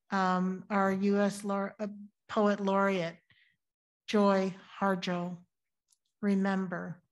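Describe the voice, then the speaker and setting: Slow, deliberate woman reading poetry. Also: mid-range voice, low-quality recording. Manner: deliberate, emphatic recitation. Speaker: reader at a church service.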